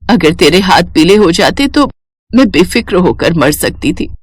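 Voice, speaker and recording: An ailing old mother from an animated melodrama, acted by a woman. She speaks with tender, fretful concern, recorded dry and close. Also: fairly low pitch, unhurried, breathy edge, no echo, heavily acted. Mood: worried tenderness. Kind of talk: melodramatic storybook dialogue.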